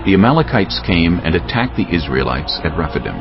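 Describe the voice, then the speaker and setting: A man narrating a dramatized scripture reading in a measured, solemn storytelling manner. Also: low voice, sing-song, muffled recording, soft background music.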